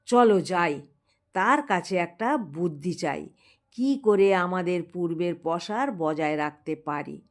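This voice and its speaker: A broke, aggrieved town doctor voiced by a woman storyteller from a folk tale. He talks slowly and colloquially to his colleagues, with a plaintive manner. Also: fairly low pitch, lively intonation. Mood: resigned, advising.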